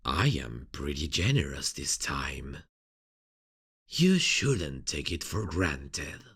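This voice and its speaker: A sadistic villain for an audio roleplay, very slow and husky. The pitch swoops playfully as the killer mocks and teases, savoring every threat. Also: male voice, big pitch swings, a bit bright, full character voice. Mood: gloating, teasing. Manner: mocking, teasing, drawn-out delivery with sinister chuckles. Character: sadistic, playful serial killer taunting a detective. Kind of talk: ASMR roleplay acting.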